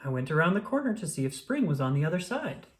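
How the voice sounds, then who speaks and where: A reader voicing a calm, reassuring male frog from a children's storybook, telling things patiently and encouragingly. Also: mid-range voice, fast talker, close to an everyday voice. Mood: gentle storytelling.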